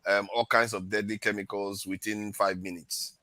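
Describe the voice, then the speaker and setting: A man's voice: on the low side, little pitch movement, normal speed, sharp tone, no echo. A man with a Nigerian accent moderating a casual panel discussion.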